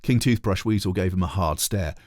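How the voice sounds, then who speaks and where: A man, a teacher, reading a children's storybook aloud in an animated narrating style. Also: deep voice, a little fast, no room sound, clean studio sound.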